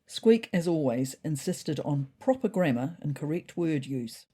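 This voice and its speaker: Woman as a husky, lively wry cat storyteller. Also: low voice, unhurried, exaggerated voice. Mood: dryly amused. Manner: wry, expressive. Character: wry, observant cat narrator telling a diary story. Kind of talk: scripted comic storytelling.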